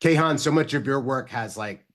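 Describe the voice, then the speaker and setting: A man's voice: medium pitch, moderate pace. Man, moderator, panel talk, asks questions. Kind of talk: panel conversation.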